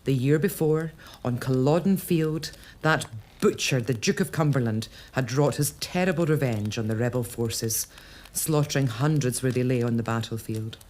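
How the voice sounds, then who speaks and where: An actress playing a composed early-1800s lady of a castle, speaking in a measured, gently defiant way. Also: extremely low pitch. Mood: resolute. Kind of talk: spoken monologue to a ghost.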